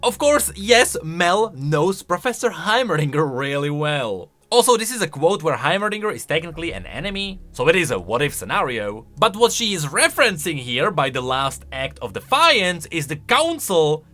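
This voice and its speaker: A man's voice: on the high side, very animated, moderate pace, hardly any pauses, bright, forceful tone. A man giving chatty, casual commentary on video game lore, often asking rhetorical questions with emphatic delivery.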